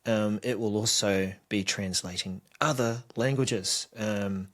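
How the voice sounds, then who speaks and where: Dry-humored New Zealand man, low voice. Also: fairly even intonation, slow, fairly bright tone. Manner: dry, playful banter. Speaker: co-host. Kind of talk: casual tech podcast chat.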